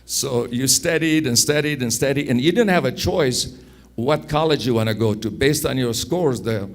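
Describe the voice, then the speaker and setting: A man in his sixties from Afghanistan with an Afghan accent, telling personal stories in an unhurried, anecdotal way, repeating phrases for emphasis. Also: mid-range voice. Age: 60s. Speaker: speaker telling his life story. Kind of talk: personal storytelling.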